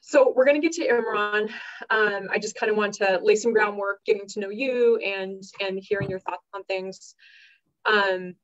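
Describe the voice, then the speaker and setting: Woman host on a livestream, mid pitch, affirming and casual, dull lo-fi sound. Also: normal speed, slight background noise. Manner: warm affirming backchannels, casual questions. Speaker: livestream host from an advocacy group. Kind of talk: livestream interview. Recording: dull lo-fi audio.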